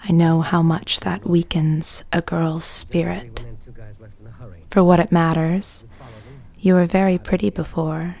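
A woman playing a troubled, brooding diarist in an audio drama monologue, confiding secrets in a hushed half-whisper with deliberate pauses. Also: fairly low pitch, level tone, creaky, heavily acted. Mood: haunted, confiding.

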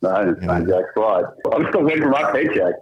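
Husky man with lively, swooping pitch. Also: fairly quick. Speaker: podcast contributor and guest prank caller. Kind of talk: casual comic banter and improvised prank calls. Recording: dull, lo-fi phone-like connection.

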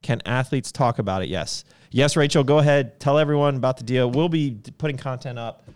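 A man's voice: mid-range voice, brisk, darkish tone, clean tone. A man co-hosting a casual livestream, reading viewer comments with a playful, laughing manner. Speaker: co-host of a brand livestream.

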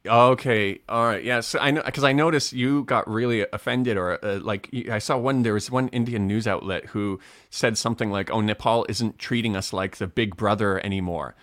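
A man hosting a casual chat over a video call. His voice sits on the low side and sounds dry and close, with no room around it. He talks in a loose, hesitant way, restarting his sentences often. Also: moderate pace, a bit bright, dry recording. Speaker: host.

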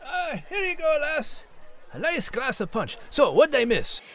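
A man's voice: very high voice, sing-song, unhurried, exaggerated voice. A man voicing a jaunty animal character in a children's audio show.

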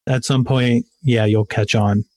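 A man's voice: medium pitch, fairly even intonation, measured pace, darkish tone, no room sound. A man speaking as a podcast guest, emphatic and motivational, repeating his main points. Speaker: guest, sales author. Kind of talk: interview conversation.

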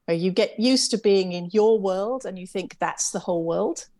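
A woman's voice: medium pitch, moderate pace, a little mellow. Chatty middle-aged Englishwoman, reflective. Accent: English, with some American influence. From England. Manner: chatty, reflective, laughs lightly at herself. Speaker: interview guest, camp CEO. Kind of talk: conversational interview.

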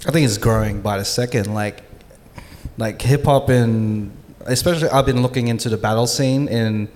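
Laughing, chatty podcast co-host. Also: male voice, mid-range voice, moderate pace, a little hiss or hum. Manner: laughing, animated storytelling with filler words. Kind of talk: casual live panel chat. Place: live conference stage.

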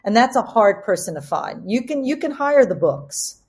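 A woman's voice: mid-range voice, sing-song, normal speed, a little mellow, breathy edge. A woman speaking candidly as a company co-founder on a panel, motivational and emphatic, repeating phrases to drive points home. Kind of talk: candid discussion, motivational business talk.

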